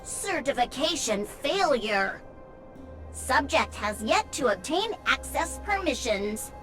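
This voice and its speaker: Slow, formal robot gatekeeper. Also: female voice, high-pitched, sing-song, a bit bright, exaggerated voice. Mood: impassive, procedural. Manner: formal, measured, mechanical phrasing. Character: dutiful procedural robot gatekeeper in a sci-fi game. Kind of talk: game voice acting. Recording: faint music behind.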